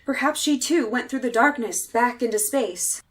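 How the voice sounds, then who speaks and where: A woman's voice: fairly high pitch, moderate pace, lightly acted. An actress playing a fearful, grimly resigned woman stranded far from home, speaking solemnly and dramatically in the style of a 1930s radio horror play. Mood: solemn, fatalistic.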